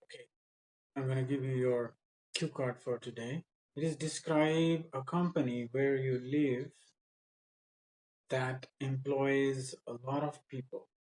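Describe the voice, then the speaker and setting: A man's voice: medium pitch, steady tone, takes it slowly, a little mellow, low-quality recording. A man with a mid-range voice runs a mock English speaking test. He is blunt and instructive, putting questions and coaching on answers.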